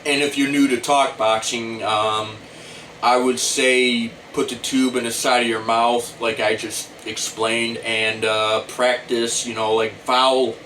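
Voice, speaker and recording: A middle-aged man with an American accent gives an informal tutorial to camera, explaining things deliberately and repetitively. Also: medium pitch, level tone, pushed and bright. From United States. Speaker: talk box player giving tutorial. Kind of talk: informal instructional talk to camera. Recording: distant mic, faint air-conditioner hum.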